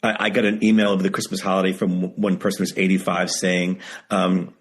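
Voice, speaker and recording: A man of seventy speaks as a guest in a candid interview. His voice sits fairly low and has a husky grain. He is emphatic, often repeating a phrase to stress it. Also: flat delivery, brisk. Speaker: guest, author and writing teacher. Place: video call.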